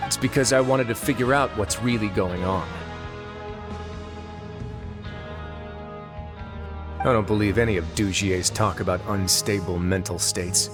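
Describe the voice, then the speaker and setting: An actor playing a composed, dryly wry duke with quiet authority in a video game. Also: male voice, lowish voice, steady tone, a little fast, natural voice. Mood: thoughtful, skeptical. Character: composed, wry, authoritative duke who runs a prison, adult man. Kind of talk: video game character voice acting. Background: game music.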